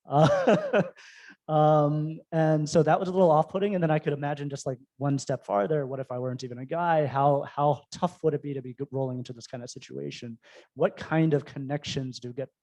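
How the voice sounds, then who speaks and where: Mellow man speaking casually on a panel. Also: fairly high pitch, fairly even intonation, fairly quick. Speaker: venture fund investor on a panel. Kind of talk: informal panel talk.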